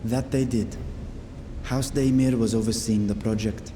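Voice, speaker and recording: A man playing a dignified, measured city ruler who speaks formally and deliberately in a video game cutscene. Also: mid-range voice, fairly even intonation, background noise, natural voice. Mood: grave, measured.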